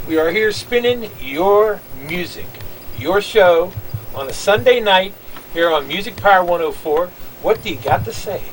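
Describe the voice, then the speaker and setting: A man hosting a radio oldies show, talking in quick, chummy patter and interviewing his guest. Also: high-pitched, animated, far from the mic, a little hiss or hum, low-quality recording. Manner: upbeat, chummy, fast patter. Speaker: radio disc jockey host.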